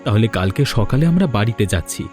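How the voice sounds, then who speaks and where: A man's voice: lowish voice, lively intonation, quick pace, darkish tone, faint music behind, close to an everyday voice. A man playing an earnest, devoted young husband in a cartoon, talking in a coaxing, sincere way. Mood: reassuring. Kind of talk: Bengali cartoon voice acting.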